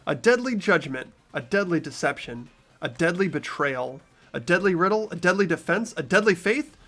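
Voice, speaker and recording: A man's voice: sits high, lively intonation, moderate pace, pushed and bright. A man reading the narration and inner thoughts of a game's protagonist in a fan playthrough. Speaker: narrator and player.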